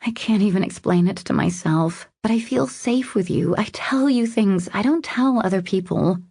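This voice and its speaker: A woman narrator voicing a guarded, vulnerable woman in an audiobook romance, speaking softly and hesitantly as she confides. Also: mid-range voice, normal speed, husky, no room sound, studio quality, pitched above the performer's own voice. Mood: shy confession. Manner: hesitant, confessional, soft. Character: vulnerable, guarded young woman opening up to her lover.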